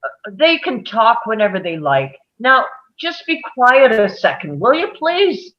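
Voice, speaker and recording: A woman's voice: a little high, very animated, a little slow, muffled recording. A woman playing an impatient, domineering male juror in a script table read, with a brusque, sneering manner.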